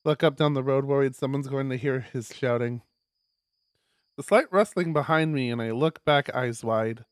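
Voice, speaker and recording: Guy streamer narrating a visual novel, crisp voice, close dry sound, does little asides. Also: mid-range voice, moderate pace, dry recording. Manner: expressive reading, breaks off into excited asides.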